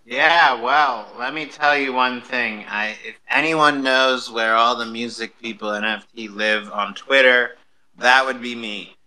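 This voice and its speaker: A man's voice: mid-range voice, steady tone, unhurried, sharp tone, poor audio. A man hosting an interview show, talking casually and confidently about his own community. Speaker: radio show host.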